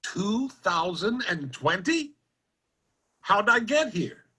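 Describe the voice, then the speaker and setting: Man playing a raspy, folksy old-time storekeeper. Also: sits high, big pitch swings, slow pace, sharp tone, heavily acted. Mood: bewildered, amazed. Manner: folksy, puzzled, plain-spoken country talk. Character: old-time West Virginia general store proprietor from the 1800s, folksy, bewildered. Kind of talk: old-time radio drama acting.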